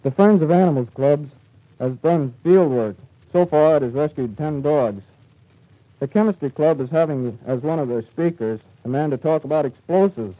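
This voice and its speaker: A teenage American student reporter reading scripted news stiffly on an old lo-fi 1940s radio broadcast. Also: male voice, fairly high pitch, normal speed. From United States. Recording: dull lo-fi 1940s radio recording.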